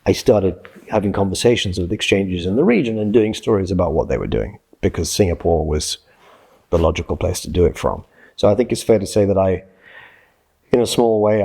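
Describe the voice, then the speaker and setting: Male interviewee, about sixty, from Scotland. Low, slightly rough-edged voice, thoughtful and explanatory, self-correcting as he goes. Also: brisk, warm tone. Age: late 50s to early 60s. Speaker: interviewee, journalist and freelance writer. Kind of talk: reflective interview answers.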